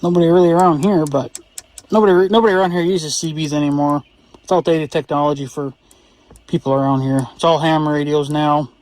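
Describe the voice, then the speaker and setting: Casual plain-spoken man, higher-pitched voice. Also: fairly even intonation, fairly quick, darkish tone, dry recording. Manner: plain-spoken, offhand swearing. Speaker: vlogger reviewing radio gear. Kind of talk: casual unscripted product review chat.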